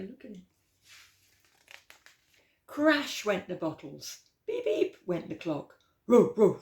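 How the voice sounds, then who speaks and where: A woman reading a rhyming children's picture book aloud as a storytime host, with expressive, dramatic emphasis. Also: medium pitch, vocal fry. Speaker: library storytime reader. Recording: roomy, echoey indoor sound.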